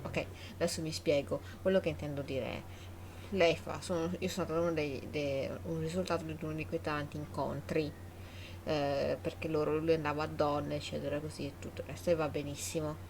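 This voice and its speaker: A woman's voice: low-pitched, little pitch movement, fast, a little mellow, slight background noise. An Italian woman giving casual live commentary on a game, informal and thinking aloud, often repeating her words. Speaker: game streamer. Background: game music.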